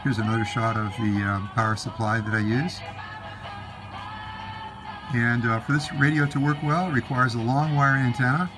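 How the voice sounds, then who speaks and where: A man casually demonstrating a vintage radio in a halting, unhurried way, as a collector explaining his set. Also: mid-range voice, fairly even intonation, poor audio. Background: vintage radio playing music and broadcasts.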